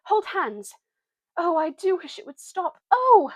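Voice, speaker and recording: A woman voicing an anxious, excitable young English schoolgirl in a storybook, pleading and exclaiming. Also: extremely high pitch, takes it slowly, dry recording, pitched above the performer's own voice, full character voice. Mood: frightened.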